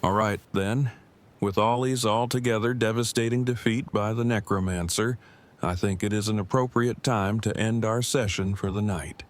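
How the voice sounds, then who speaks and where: Low-voiced, formal, pedantic man playing a bookish fellow. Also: steady tone, normal speed, faint music behind, lightly acted. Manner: formal, verbose, precise wording. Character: polite, pedantic, formal-spoken man, easily embarrassed game master. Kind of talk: scripted audio drama acting.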